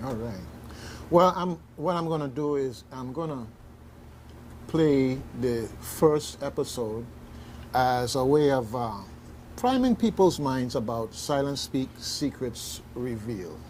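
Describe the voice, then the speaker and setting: A man hosting casual radio talk, halting and rambling, often repeating his phrases. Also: mid-range voice, expressive, a little mellow. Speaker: radio talk show host. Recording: faint background noise.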